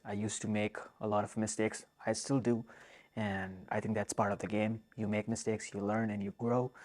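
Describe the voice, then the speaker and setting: Earnest young man, flat low voice. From Pakistan. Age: 20s. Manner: soft-spoken, earnest, with frequent "like" fillers. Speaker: vlogger. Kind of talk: casual Q and A monologue.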